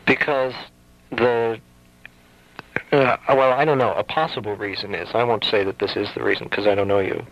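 A man hosting a late-night radio call-in show, musing slowly and hesitantly with many ums. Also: fairly low pitch, fairly even intonation, no room sound. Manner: thoughtful, hesitant, lots of ums. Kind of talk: casual call-in radio chat. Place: radio studio. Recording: dull lo-fi radio broadcast.

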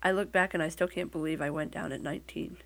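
A woman's voice: deep voice, steady tone, fast talker, vocal fry. A woman with a Midwestern American accent, talking casually as an interview guest and telling things in a wry, lightly joking way. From Midwestern United States.

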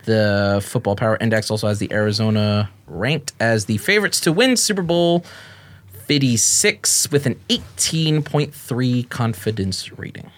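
Guy on a sneaker podcast reading off stats, dry teasing comments, mid pitch. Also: expressive, moderate pace. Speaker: podcast co-host. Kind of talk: casual chat, reading a news item aloud.

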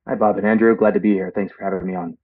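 A man's voice: on the low side, fairly even intonation, very fast, breathy edge, dry recording. A man speaking as an interview guest, explaining things over a dull, lo-fi remote link. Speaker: interview guest, sales manager.

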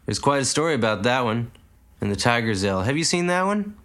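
A man's voice: mid-range voice, big pitch swings, fast, a bit bright, voice pushed up. A man voicing a weary, brooding male zoo owner who speaks heavily. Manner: heavy, tired.

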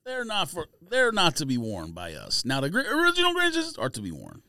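A man's voice: on the high side, huge pitch swings, brisk, sharp tone, smooth, no room sound, clean studio sound. A man co-hosting a sneaker podcast in casual banter, playful and full of slang. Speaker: podcast co-host reviewing sneakers.

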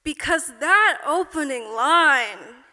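A woman's voice: high voice, animated, takes it slowly, pushed and bright. A woman performing spoken word poetry on stage with a rhythmic, rhyme-driven delivery. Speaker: slam poet. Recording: reverberant hall.

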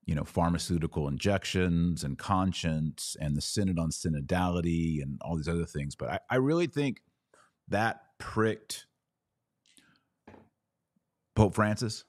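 Very deep-voiced American man hosting live. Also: expressive, a little slow, darkish tone, dry recording, studio quality. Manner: emphatic, repeats phrases for stress; opinionated, blunt. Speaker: podcast host answering live audience comments. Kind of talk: live commentary, reading and answering comments.

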